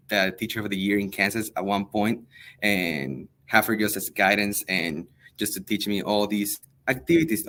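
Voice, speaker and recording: Young male teacher from El Salvador, native Spanish speaker, fairly low voice, earnest and halting in an interview. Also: a bit bright. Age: young adult. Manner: hesitant, many ums, earnest. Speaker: high school history teacher. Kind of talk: interview answers.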